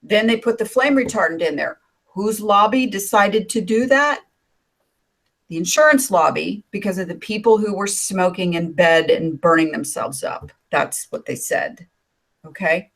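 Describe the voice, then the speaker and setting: An older woman with a raspy voice, chatting loosely as a co-host on an online video call, rambling with fillers and asides. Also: medium pitch, moderate pace, a bit bright. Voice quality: raspy from decades of smoking. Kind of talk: casual chat on a video call. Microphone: computer mic on a video call.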